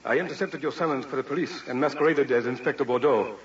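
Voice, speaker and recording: Actor playing a theatrical scheming French duke, echoey old radio. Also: male voice, medium pitch, fast, dull audio, lightly acted. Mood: gloating. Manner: theatrical, formal. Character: scheming French duke posing as a police inspector, vengeful. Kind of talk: old radio melodrama acting. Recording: distant, echoey, hissy vintage radio recording.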